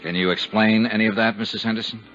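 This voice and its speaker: An actor with an American accent playing a blunt, probing insurance investigator in a 1950s radio drama, speaking in a direct, clipped way. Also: male voice, lowish voice, fairly even intonation, fast, fairly bright tone, close to an everyday voice. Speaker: radio drama lead, narrator. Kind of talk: 1950s radio detective acting. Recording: dull 1950s radio recording, faint music.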